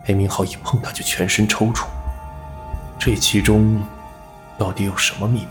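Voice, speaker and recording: A man's voice: lowish voice, flat delivery, normal speed, faint music behind, lightly acted. A man playing a shrewd, worldly gambling boss in an audio drama, speaking with authority. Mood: suspicious.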